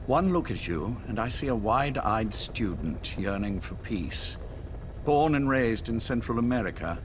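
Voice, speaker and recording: An actor playing an older male spymaster who speaks in a calm, courteous, probing way. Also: medium pitch, measured pace, background noise, natural voice. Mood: measured.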